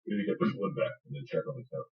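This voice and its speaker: A man playing a tabletop game, chatting casually and partly speaking in character. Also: rapid-fire. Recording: dull, echoey room sound.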